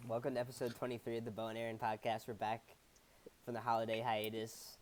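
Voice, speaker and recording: A man's voice: mid-range voice, completely flat delivery, fast. A man co-hosting a podcast in casual chat, laid-back and trailing off, with a stuffy head cold. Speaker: podcast co-host.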